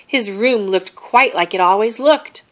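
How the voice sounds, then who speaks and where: A woman reading a children's story aloud as an expressive narrator. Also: mid-range voice, a little slow. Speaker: storybook reader.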